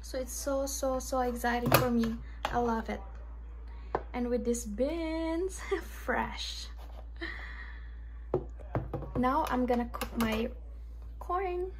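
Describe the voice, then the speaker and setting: A woman's voice: fairly high pitch, slow pace, long pauses, background noise. A woman in her thirties vlogging in simple, non-native English, cheerful and chatty, filming on a phone she holds. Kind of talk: casual vlog chat. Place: outdoors on a vegetable farm, then a home kitchen. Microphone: phone camera in hand.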